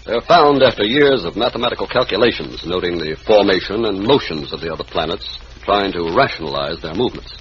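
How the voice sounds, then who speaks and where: An actor playing a learned, guarded observatory scientist in an old radio mystery, formal and a little hesitant. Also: male voice, low voice, animated, a little fast, few pauses. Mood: formal, uneasy. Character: reserved, learned, evasive male observatory scientist. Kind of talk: 1940s radio mystery acting. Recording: muffled, noisy old radio recording.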